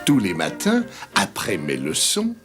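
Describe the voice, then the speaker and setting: A man playing a grown elephant who narrates memories in a French cartoon, dignified, nostalgic and gently wry. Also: sits low, wildly swooping pitch, unhurried, heavily acted. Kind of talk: scripted storybook narration in French-dubbed cartoon. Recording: music under the narration.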